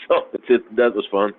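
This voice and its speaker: A man in casual podcast conversation, talking haltingly with many fillers and restarts. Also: lowish voice. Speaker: podcast guest. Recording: tinny phone-like sound, faint noise.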